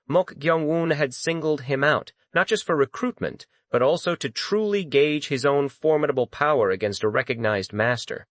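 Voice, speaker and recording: A man's voice: on the high side, moderate pace, hardly any pauses, no room sound. A man reading dramatic scripted fantasy narration as an audiobook narrator.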